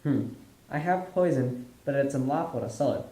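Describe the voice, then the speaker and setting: A man's voice: mid-range voice, normal speed, mellow, close to an everyday voice. A man as an echoey, desperate puppet character. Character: desperate young man bargaining, and poor reluctant apothecary. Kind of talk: amateur puppet show acting. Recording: roomy echo, faint music.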